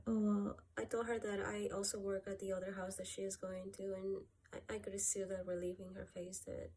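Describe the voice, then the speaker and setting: A woman from Peru talking through a personal gratitude list, softly and hesitantly, with many pauses and fillers. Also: medium pitch, a little hiss or hum. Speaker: vlogger.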